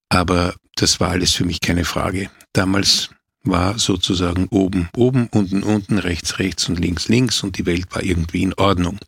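Low, gravelly Austrian man, reflective. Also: a bit bright, no room sound. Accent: Austrian German. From Austria, Vienna. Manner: measured, thoughtful, repeats words while searching. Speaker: actor recounting his life. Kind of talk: reflective autobiographical talk.

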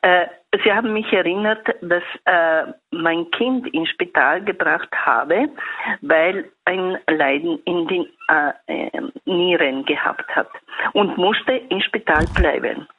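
A woman's voice: fairly low pitch, slow pace, breathy edge. A woman in her eighties with an Italian accent, a caller speaking German hesitantly and emotionally, searching for words. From Italy. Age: 80s. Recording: phone line.

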